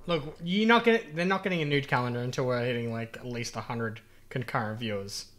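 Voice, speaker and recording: A man's voice: mid-range voice, quick pace, fairly bright tone. A man co-hosting a casual gaming podcast who cracks self-deprecating jokes. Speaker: podcast co-host.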